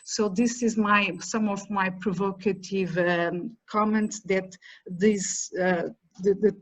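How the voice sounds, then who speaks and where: A middle-aged woman academic speaks at a roundtable in English, which is not her first language. Her delivery is measured and emphatic. She restarts phrases and repeats words as she lays out her argument. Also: mid-range voice, fairly even intonation, rough recording. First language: a language other than English or Portuguese. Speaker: academic panelist. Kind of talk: roundtable talk.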